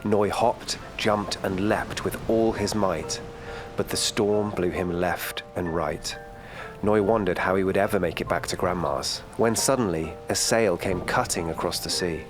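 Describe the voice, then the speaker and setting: A man reading a children's bedtime story in a calm, gentle storytelling manner. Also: low-pitched, unhurried, over music.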